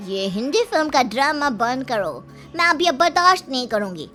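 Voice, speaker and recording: A woman's voice: high voice, big pitch swings, moderate pace, music in the background, voice pushed down. A woman voicing a stern, scornful middle-aged mother in a cartoon story, haughty and commanding. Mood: angry, threatening.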